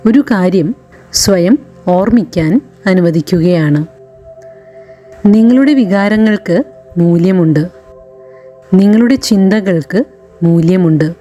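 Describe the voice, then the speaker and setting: Slow, mellow woman guiding a meditation. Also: on the low side, highs cut off. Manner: gentle, soothing, short phrases with long pauses. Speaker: meditation guide. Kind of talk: guided meditation narration. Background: background music.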